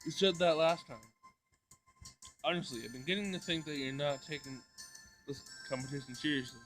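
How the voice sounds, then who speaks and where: A man voicing an impatient, bossy young woman who scolds her partner briskly. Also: a little high, fairly bright tone, natural voice. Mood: scolding. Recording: music behind the voice.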